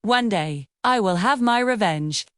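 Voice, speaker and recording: A woman voicing a fierce, hateful male tiger villain in a children's storybook cartoon, speaking in a low growl and hiss. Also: lively intonation, a little slow, dry recording, clean studio sound, lightly acted. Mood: menacing.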